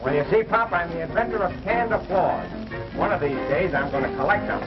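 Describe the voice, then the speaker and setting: An actor playing a flippant, gag-cracking joker in a 1930s film comedy. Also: male voice, high voice, fairly quick, close to an everyday voice. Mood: boastful. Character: wisecracking small-town joker and would-be radio announcer. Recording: dull 1930s film soundtrack, music behind.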